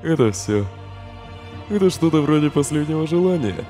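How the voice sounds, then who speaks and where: A man's voice: fairly high pitch, animated, normal speed, warm tone, lightly acted. A man voicing a weary, sardonic, protective skeleton in a comic dub, with a subdued, dry, sighing delivery. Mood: resigned, grim. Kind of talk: dramatic fan comic dub. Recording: long hall reverb, faint music behind.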